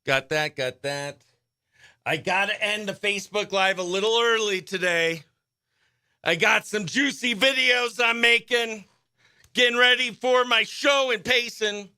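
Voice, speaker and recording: Male country morning radio host, high voice, chatty and excitable delivery, very dry close studio sound. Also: measured pace, clean tone, no room sound. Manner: animated, chatty, exclamatory. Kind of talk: upbeat live radio presenting.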